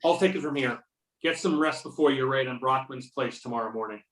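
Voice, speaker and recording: A man's voice: mid-range voice, fairly quick, a bit bright, natural voice. Weary male government agent, read by a man, dry and wry, roomy remote-call sound, off mic. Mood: wry, grim. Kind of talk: amateur readers theater over a remote call. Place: remote video or phone conference. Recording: roomy, distant call microphone.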